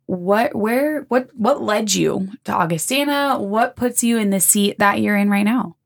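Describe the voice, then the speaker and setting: A woman's voice: medium pitch, measured pace, vocal fry. A woman interviewing a new intern, encouraging and talking in long, winding questions. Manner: warm, encouraging, talks in long run-on questions. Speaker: interviewer for the organization. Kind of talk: casual interview.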